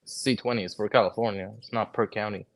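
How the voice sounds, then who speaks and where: Young man from Lebanon, low voice, laid-back storyteller, casual talk with lots of "bro". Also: fast talker. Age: 20s. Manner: relaxed storytelling, lots of "bro" and "like", acts out quoted conversations. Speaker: guest, HVAC technician. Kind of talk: casual podcast chat.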